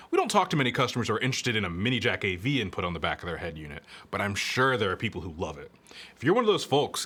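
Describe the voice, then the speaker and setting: A man with a bright, lively voice presents a scripted product review. His intonation moves up and down freely as he explains features. He talks directly to the viewer in a conversational, instructive way. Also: on the low side. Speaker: product reviewer and presenter for a car audio retailer.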